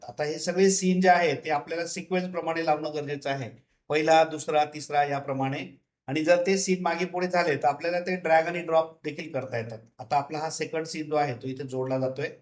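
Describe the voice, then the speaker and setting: A man giving an unscripted, step-by-step software tutorial in a repetitive, instructional way. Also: a little high. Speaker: tutorial presenter.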